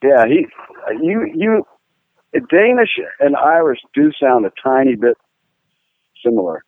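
A man from Pittsburgh, a guitar-playing guest, telling rambling, animated stories with lots of fillers. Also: mid-range voice, a little slow, no room sound. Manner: rambling, animated, fillers, laughs at own jokes. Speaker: guest musician, guitar player. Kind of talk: casual storytelling interview. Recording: tinny phone-line sound, dry.

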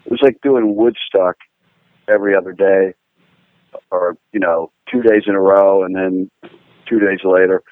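A man from Pittsburgh, a guitar-playing guest, telling rambling, animated stories with lots of fillers. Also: low-pitched, moderate pace, pauses often, dry recording. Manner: rambling, animated, fillers, laughs at own jokes. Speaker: guest musician, guitar player. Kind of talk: casual storytelling interview. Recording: tinny phone-line sound, dry.

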